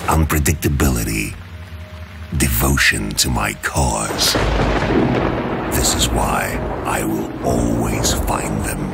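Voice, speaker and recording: An actor playing a grim, obsessive, proud male vigilante, gravelly and brooding, in a dark comic-book drama. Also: rumbling low, fairly even intonation, over loud music, full character voice. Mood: brooding, menacing. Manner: brooding, terse, deliberate.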